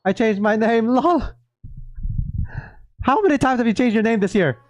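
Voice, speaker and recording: A man's voice: extremely high pitch, lively intonation, normal speed, faint music behind. A man doing casual live game reaction commentary, chatting playfully with his viewers. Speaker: game streamer.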